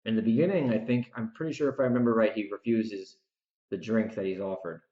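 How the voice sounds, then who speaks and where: Male podcast host, off-the-cuff opinionated rant, emphatic repetition and dramatic pauses, roomy and slightly dull sound. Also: on the low side. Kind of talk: unscripted opinionated podcast monologue.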